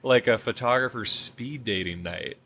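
Bright-voiced chatty man. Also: mid-range voice, moderate pace, slightly rough. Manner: chatty, filler-heavy, informal. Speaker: podcast host in the wedding business. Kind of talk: casual conversational interview.

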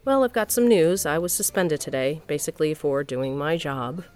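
A woman's voice: deep voice, lively intonation, moderate pace, a little mellow, faint music behind. An actress playing a wry, wounded middle-aged wife and mother, telling her own story in an audio drama. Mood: indignant, dry. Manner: wry, sardonic. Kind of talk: first-person audio drama narration and dialogue.

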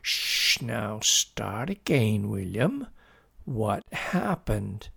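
Man playing a calm, drawling dad with creaky voice. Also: lowish voice, heavily acted. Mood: soothing. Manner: drawling, measured, folksy. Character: calm, sceptical middle-aged father, reassuring and unhurried.

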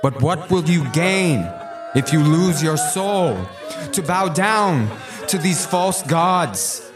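A man's voice: on the high side, animated, takes it slowly. A man playing a serene, steadfast apostle in a religious audio drama, solemn and devout in manner. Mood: earnest. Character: serene male apostle, steadfast and pious, facing martyrdom. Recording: music playing under the voice.

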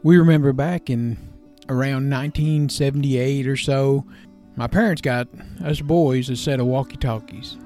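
A man's voice: medium pitch, fairly even intonation, normal speed, dark tone. A man in his fifties giving a devotional talk as a podcast host, in a folksy, plain-spoken way with emphatic short phrases. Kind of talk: devotional talk with personal childhood stories. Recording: guitar music behind the voice.

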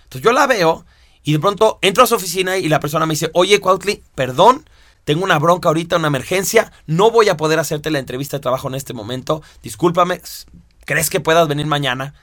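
A man teaching a course, explaining step by step with lively rhetorical questions. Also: on the high side, brisk, dry recording, clean studio sound. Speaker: instructor. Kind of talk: lecture to students.

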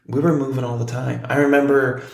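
A man's voice: medium pitch, a little fast, gravelly, reverberant. A man in his forties chatting casually on a podcast, telling stories with lots of ums. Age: 40s. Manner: relaxed, storytelling, frequent ums. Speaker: podcast co-host.

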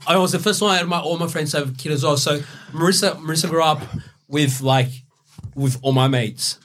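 Laid-back young Brazilian-born man, fairly high voice. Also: fairly even intonation, brisk, a bit bright, slight background noise. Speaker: interview guest. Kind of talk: candid storytelling.

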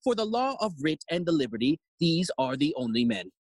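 A fussy, self-important old counsellor in a Shakespearean audio play. The husky voice swoops widely up and down as he declaims. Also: a little high, big pitch swings, fairly quick, no echo, studio quality. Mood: pompous. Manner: pompous, declamatory list-making. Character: pompous, long-winded old royal counsellor, fussy and eager.

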